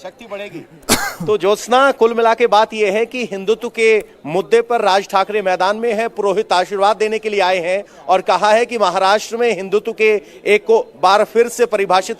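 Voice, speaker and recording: High-pitched male TV field reporter. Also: steady tone, moderate pace. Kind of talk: live TV news reporting and interviewing.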